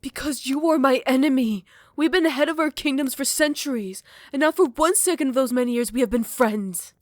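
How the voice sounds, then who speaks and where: A woman voicing a proud, centuries-old female vampire queen in an audio roleplay. She shifts between close, hushed whispered storytelling and sharp, scornful retorts, recorded on a close microphone. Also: sits high, a little fast, no echo, lightly acted. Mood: indignant. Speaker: voice actor. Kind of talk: ASMR roleplay.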